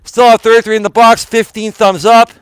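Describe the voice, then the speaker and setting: A man live streaming outdoors on his phone while walking, talking to viewers in a rambling, emphatic way, sounding tired and a little hoarse. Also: high voice, flat delivery, fairly quick, no echo. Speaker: life coach and live streamer. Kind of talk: casual live stream talk to chat. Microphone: phone camera held while walking.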